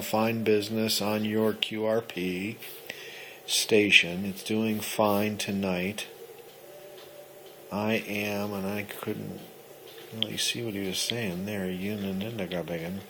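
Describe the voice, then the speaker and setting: A man's voice: lowish voice, little pitch movement, takes it slowly. A man chatting casually as an amateur radio operator, explaining things and rambling as he thinks aloud. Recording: clearly noisy recording with ambient sound.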